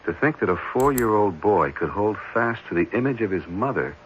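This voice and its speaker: A man's voice: on the low side, expressive, a little fast, close to an everyday voice. An actor in an old radio drama playing an earnest, troubled man with an intense, questioning way of speaking. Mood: searching, amazed. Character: earnest, searching man troubled by a child's plight. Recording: dull, noisy old radio recording.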